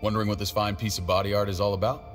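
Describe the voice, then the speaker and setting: A man playing a gruff, hardened community leader in a video game drama, talking in a blunt, terse, commanding way. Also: on the low side, flat delivery, very fast, faint music behind, lightly acted. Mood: gruff, bitter.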